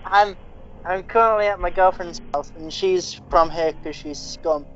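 A man trades dry, teasing remarks and quick asides in a casual comedy chat. His voice is muffled and slightly dull in the recording. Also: high voice, a bit bright. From Liverpool, England.

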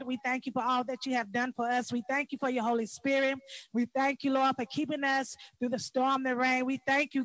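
A woman's voice: high voice, a bit bright, dry recording. A woman hosting a faith interview show as an apostle, reading scripture and praying in a fervent preacher style. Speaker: host and apostle.

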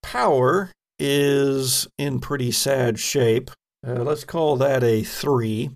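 A man narrates a tabletop game in an unscripted, halting way. His voice is mid-pitched with a noticeable fry in it. He explains outcomes and rules as he thinks them through. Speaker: game master of a tabletop role-playing podcast.

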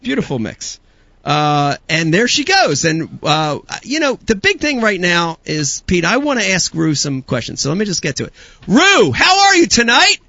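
Man, podcast host, playful, bright, gravelly, dry lo-fi sound. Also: high-pitched, sing-song, moderate pace, no room sound. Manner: playful, hyped-up announcer bits. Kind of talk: casual podcast chat.

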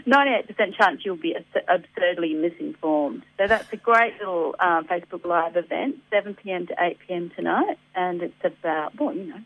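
An Australian woman with a slightly gruff voice from a head cold, chatting on community radio about local events with many ums and easy laughs. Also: on the low side, moderate pace, hardly any pauses. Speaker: environment centre guest on community radio. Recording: dry tinny phone line.

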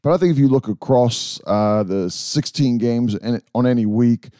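A man with a mid-pitched, mellow voice that is a bit hoarse hosts a casual football podcast. He rambles and restarts often. The recording is dry. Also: no room sound. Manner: rambling, halting, with many restarts and fillers. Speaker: podcast host. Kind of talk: casual sports chat.

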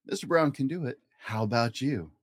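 A man reading a rhyming children's picture book aloud in a playful, expressive way, as a storytime host. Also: medium pitch, a little fast, dry recording, studio quality. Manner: playful, acts out animal and object sound effects.

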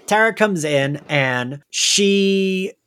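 A man hosting a podcast, recapping a show in an informal, giggly and expressive way. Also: high-pitched, very slow, clear, resonant tone. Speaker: podcast host. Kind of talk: casual pop-culture recap chat.

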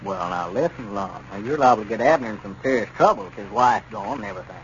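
An actor playing a genial, teasing small-town storekeeper with a rural Southern accent and a neighborly manner in a 1930s radio comedy. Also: male voice, fairly low pitch, lively intonation, fast talker, a bit bright. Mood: concerned. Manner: folksy, neighborly. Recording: muffled, hissy 1930s radio recording.

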